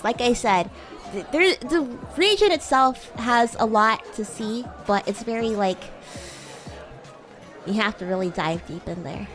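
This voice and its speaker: A woman roleplaying a playful, bratty demon classmate on a livestream, chatting in a halting, improvised way. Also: medium pitch. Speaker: streamer and VTuber host.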